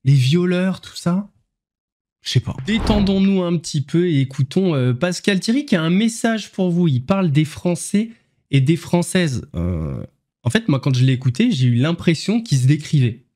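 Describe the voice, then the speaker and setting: Mellow-voiced sarcastic male commentator. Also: a little high, big pitch swings, normal speed. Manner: mocking, ironic asides. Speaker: online commentary host.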